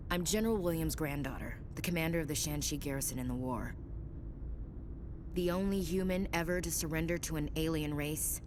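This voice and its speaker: An actress playing a proud, defiant young human soldier in a video game, with a blunt, sardonic manner. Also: female voice, a little fast, lightly acted. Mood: bitter, proud. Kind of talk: video game dialogue acting. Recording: rumbling background noise.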